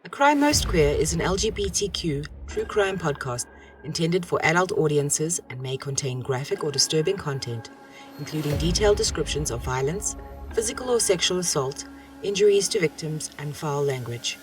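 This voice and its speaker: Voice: mid-range voice, expressive, moderate pace, far from the mic. An announcer reading a formal, scripted content warning. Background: music.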